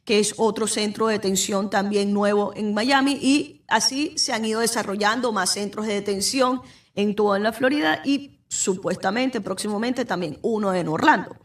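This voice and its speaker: A woman's voice: medium pitch, normal speed. A young adult woman lawyer with a Venezuelan accent, hosting a podcast and explaining legal matters directly to the listener. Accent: Venezuelan Spanish. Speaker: immigration lawyer, podcast host. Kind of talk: informative solo talk. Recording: voice somewhat far from the mic.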